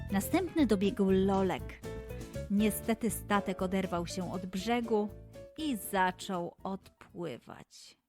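A woman narrating a scripted fairy tale for children in an expressive, deliberate manner. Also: mid-range voice. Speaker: children's storyteller.

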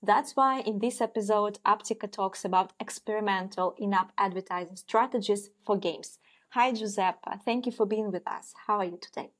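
A woman's voice: mid-range voice, moderate pace. A woman in her twenties hosting a business podcast, asking careful questions with many hesitations. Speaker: podcast host. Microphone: wired earphones on a video call.

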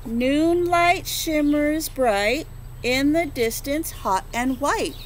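High-voiced woman teacher reading a picture book. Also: a little hiss or hum. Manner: slow rhythmic rhyme reading with gentle questions to children. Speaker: preschool teacher. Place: outdoors on a front porch. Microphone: phone or laptop camera at close range.

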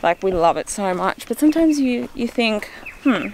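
A woman's voice: on the high side, very animated, moderate pace, darkish tone, a little hiss or hum. A woman, chatting casually to a handheld vlog camera. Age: 20s. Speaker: travel vlogger. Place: outdoors on a forest hiking trail and summit, later in a car. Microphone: handheld camera at arm's length.

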